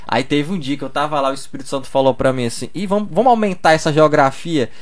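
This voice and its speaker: A man's voice: on the high side, fast talker. A young man in Brazil, telling stories in a loose, slangy casual chat full of "cara". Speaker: podcast guest.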